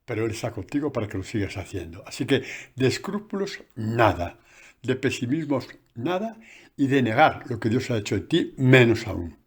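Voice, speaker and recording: A man, a priest, giving a conversational catechesis sermon recorded on a phone, animated and full of asides. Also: medium pitch, moderate pace, slightly rough.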